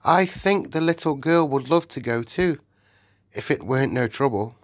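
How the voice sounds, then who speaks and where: A man's voice: medium pitch, animated, normal speed, dry recording, studio quality, pitched above the performer's own voice, natural voice. A timid, humble cabman's wife, as a male storyteller puts her on, shy and deferential with plain, homely speech. The voice is pushed well up and sounds a little distant.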